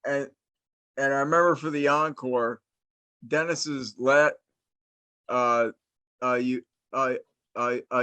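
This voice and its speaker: A man's voice: mid-range voice, fairly bright tone, no echo. A middle-aged man from New Jersey hosting a casual interview, telling long stories with many restarts and fillers. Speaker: host, interviewer.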